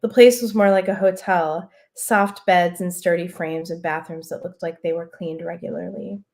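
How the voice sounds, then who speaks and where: A woman author reading her own literary prose aloud over a video call, unhurried and soft-spoken, with casual asides. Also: lowish voice, steady tone.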